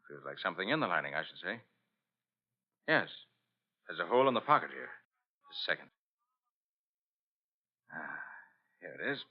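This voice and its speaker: Confident private eye, low, animated delivery, vintage radio detective style. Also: male voice, normal speed, long pauses, slightly rough, full character voice. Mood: intent, deductive. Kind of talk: old-time radio detective acting. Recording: dull old radio recording.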